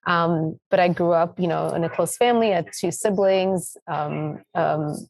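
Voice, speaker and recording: A woman in her thirties from Tanzania, a company founder talking casually in an interview, rambling with many pauses and restarts. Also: on the low side, a little mellow, no room sound, low-quality recording.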